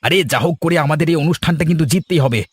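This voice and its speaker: A man voicing an eager, pushy village fellow in a comic cartoon, excitable and insistent. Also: a little high, racing, slightly rough, no room sound. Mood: eager, scheming. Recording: music under the voice.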